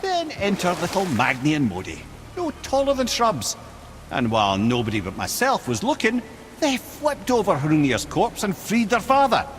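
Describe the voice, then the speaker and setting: An actor playing a wise, wry old talking head with a Scottish accent, telling tales theatrically in a video game. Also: male voice, sits high, wildly swooping pitch, normal speed. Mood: wry, knowing. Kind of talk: dramatic storytelling in a video game. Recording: game audio with clear water and boat noise.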